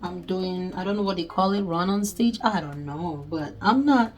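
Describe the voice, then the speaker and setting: A woman's voice: on the low side, normal speed. A woman in her thirties with a Nigerian accent, casually talking through a sewing project step by step and thinking aloud as she works. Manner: chatty, thinking aloud while working. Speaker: sewing tutorial host. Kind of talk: casual step-by-step crafting tutorial.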